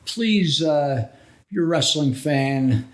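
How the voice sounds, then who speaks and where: High-pitched middle-aged man, rambling casually. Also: expressive, takes it slowly. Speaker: podcast host and wrestling veteran. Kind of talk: casual conversational reminiscing.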